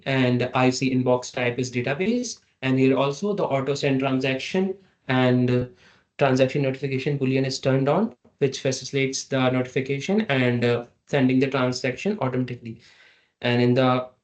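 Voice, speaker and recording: A man giving a halting, step-by-step software tutorial as a trainer over an online meeting. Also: medium pitch. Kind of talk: screen-share software tutorial. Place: online meeting with screen share. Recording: dull, lo-fi online meeting audio.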